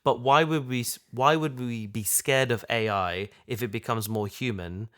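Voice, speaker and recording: A man chatting casually and thoughtfully, feeling his way through ideas in halting phrases. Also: mid-range voice, fairly bright tone, clear, resonant tone. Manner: halting, thoughtful, repeats himself. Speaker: podcast host, audio drama voice actor. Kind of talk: casual chat, discussion.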